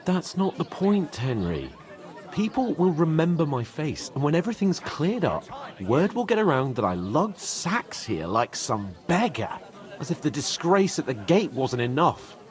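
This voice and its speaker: A man's voice: a little high, sing-song, measured pace. A man playing a haughty, spoilt young nobleman in a video game, pompous, sardonic and theatrical. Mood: indignant.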